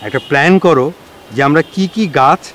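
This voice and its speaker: A man's voice: fairly high pitch, a little fast, fairly bright tone, slight background noise, natural voice. A man playing an approving boss giving instructions in a TV drama. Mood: encouraging. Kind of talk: Bangla TV drama acting.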